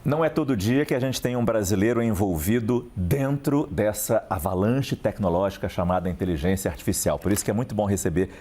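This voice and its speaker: Low-voiced Brazilian TV anchor. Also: male voice, a little fast, few pauses, dark tone. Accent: Brazilian Portuguese. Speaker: news anchor, interviewer. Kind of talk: TV business news interview.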